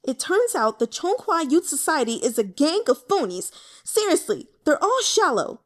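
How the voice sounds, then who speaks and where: Woman voicing an excitable, high-pitched, indignant young man. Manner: rushed, stammering, melodramatic complaining. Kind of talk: audiobook character voice.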